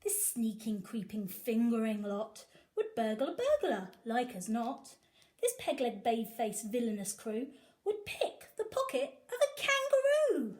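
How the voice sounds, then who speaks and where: A woman teacher reading a picture book aloud to young children, with animated, sing-song emphasis. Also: on the high side, measured pace. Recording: echoey room.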